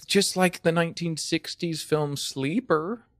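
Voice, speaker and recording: A man's voice: on the high side, a little slow, a bit bright, dry recording, studio quality, voice pushed up. A man improvising a comedy sketch as a stubborn, indignant homeowner who argues back with smug defiance. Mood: indignant protest.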